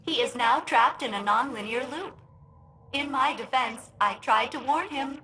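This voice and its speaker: A woman voicing a malfunctioning female ship computer in a video game, split between formal cheer and sullen sarcastic deadpan. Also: high voice, unhurried, slight background noise, rough recording. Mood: chirpy, formal.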